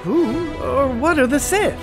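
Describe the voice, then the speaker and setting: A man playing a cheeky, excitable mock-heroic knight in a hammy audio farce. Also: very high voice, takes it slowly, music in the background, full character voice. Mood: comic excitable. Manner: hammy, parodic. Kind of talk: exaggerated farce audio play.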